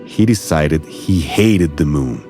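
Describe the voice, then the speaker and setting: A man narrates a children's story in a deep, soft-edged voice. His delivery is scripted and very lively in its rise and fall, with a mellow tone throughout. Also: slow, faint music behind. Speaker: audiobook narrator.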